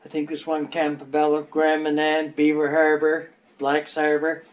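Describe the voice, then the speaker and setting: A man's voice: on the high side, monotone, moderate pace. An older man, chatting casually and plainly as he explains old footage. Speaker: local commentator on old film. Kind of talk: casual reminiscing chat over old footage.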